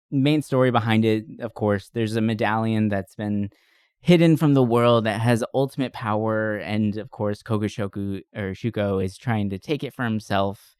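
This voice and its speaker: A man's voice: lowish voice, normal speed, a little mellow, clean tone, no echo. Enthusiastic, giggly man, close dry sound. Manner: enthusiastic, giggly, rambling storyteller. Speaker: podcast co-host reviewing movies. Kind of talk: casual movie-review chat.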